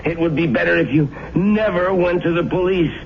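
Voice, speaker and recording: A man's voice: high voice, a little fast, creaky. A man playing a sick, reluctant crook who boards in a house, short of breath, in a radio drama. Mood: quietly menacing. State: ill, winded, coughing. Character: sick, reluctant male boarder turned menacing accomplice. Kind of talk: 1970s radio drama acting. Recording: noisy, muffled old radio broadcast.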